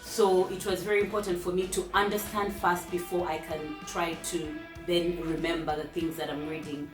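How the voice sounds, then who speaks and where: A woman's voice: on the low side, steady tone, moderate pace, over music. Chatty woman vlogger in an echoey room. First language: English. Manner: chatty, talks straight to viewers and lists numbered tips. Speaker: vlogger hosting her own channel. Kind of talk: casual tips talk to viewers. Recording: roomy echo.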